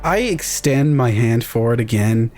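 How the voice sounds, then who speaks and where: A man's voice: medium pitch, expressive, measured pace. Man narrating game combat over music. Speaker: tabletop game player. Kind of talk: descriptive in-character action narration for a tabletop game. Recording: music bed under the voice.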